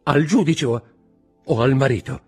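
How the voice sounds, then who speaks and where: A man's voice: fairly low pitch, lively intonation, slow, darkish tone, slightly rough, faint music behind, exaggerated voice. A man voicing a frightened young wife in an audiobook drama, stammering and begging in gasped, pleading exclamations.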